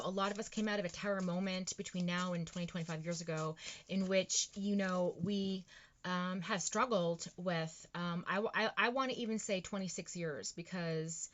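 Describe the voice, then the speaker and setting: A woman's voice: medium pitch, fairly bright tone, dull audio, slight background noise. A woman giving a casual tarot reading, rambling and encouraging, with lots of fillers and restarts. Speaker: tarot reader.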